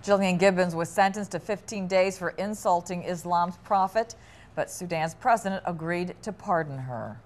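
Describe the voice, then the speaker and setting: A woman's voice: fairly low pitch, moderate pace. A woman co-anchoring a newscast, reading scripted news and entertainment items.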